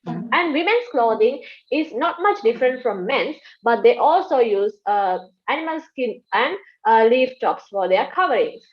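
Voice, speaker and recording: A woman presenting to a class in a casual way, with a few uh pauses. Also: on the high side, animated. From Sri Lanka. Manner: informal, with fillers like uh. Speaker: student presenter. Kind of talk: prepared presentation. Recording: muffled lo-fi audio.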